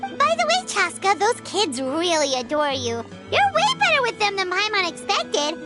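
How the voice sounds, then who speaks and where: A woman voicing a tiny, excitable, childlike flying fairy companion in a video game, squeaky and bubbly. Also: normal speed, over loud music, full character voice. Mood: chatty, tentative. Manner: bubbly, refers to self in third person.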